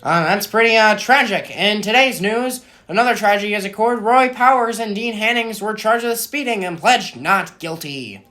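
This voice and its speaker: A man's voice: high voice, normal speed, few pauses, pushed and bright. A man co-hosting an amateur mock 1920s radio news show, reading scripted items in a hesitant, conversational way. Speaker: co-host and anchor of a mock radio broadcast.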